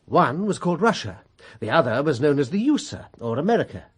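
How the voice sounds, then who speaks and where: An excitable little alien storyteller for a radio comedy, husky and swooping wildly up and down. The delivery is childish and singsong, gleeful one way and whiny the other. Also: mid-range voice, brisk. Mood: storytelling. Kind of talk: comic radio storybook narration.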